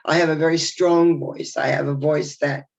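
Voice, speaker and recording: An older woman talks through a stuffed-up nose in a chatty, meandering video message. Her voice has a gravelly fry, and the sound is dull and lo-fi. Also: deep voice, steady tone, normal speed. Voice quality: nasal. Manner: chatty, warm and reflective, with rambling restarts. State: congested from a head cold. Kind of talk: casual spoken video message.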